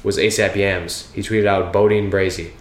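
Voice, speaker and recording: A man co-hosting a casual sports podcast, relaxed and jokey, talking from inside a house. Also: sits low, normal speed. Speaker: podcast co-host. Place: house, indoors. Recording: echoey room with faint noise.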